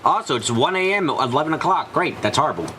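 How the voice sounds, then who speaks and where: A man streaming a visual novel, reading aloud and chatting in an animated, rambling way. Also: fairly high pitch, quick pace, a little hiss or hum. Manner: animated, rambling, swears freely. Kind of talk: live game stream, casual chat and dramatic reading. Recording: music behind the voice. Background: game music.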